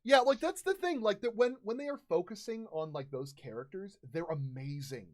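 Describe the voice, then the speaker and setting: A man in his thirties hosting a casual livestream, telling stories in an animated way and acting out quotes. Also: sits high, moderate pace. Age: 30s. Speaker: streamer and host.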